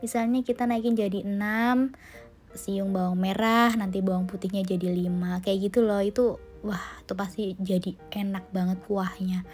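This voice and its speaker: Chatty woman, hoarse and nasal. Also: medium pitch, steady tone, moderate pace, a little mellow, soft background music. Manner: chatty, friendly, addresses viewers as friends, many fillers. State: slightly hoarse and nasal from a sore throat. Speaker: homemaker vlogger. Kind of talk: casual cooking vlog voice-over. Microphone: phone recording.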